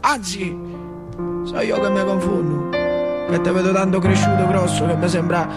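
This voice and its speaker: An old factory worker played by a male stage actor tells his memories in a theatrical monologue over music. His voice sits high for a man, with an earthy central Italian dialect from northern Lazio. He repeats himself and nudges the listener with little questions, like a nostalgic working-class storyteller. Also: steady tone, normal speed, natural voice. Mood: fond, earthy.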